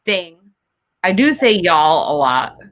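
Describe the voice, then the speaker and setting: Blunt, bright-voiced Jersey woman. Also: lowish voice, sing-song, slow. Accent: New Jersey. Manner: blunt, swearing, laughing often. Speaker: podcast co-host. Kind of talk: casual chat.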